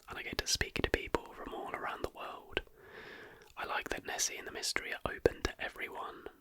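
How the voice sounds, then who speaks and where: An ASMR host reads a scripted narration entirely in a whisper. The sound is bright and airy, with no full voice at all. The delivery stays soft throughout. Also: rough recording.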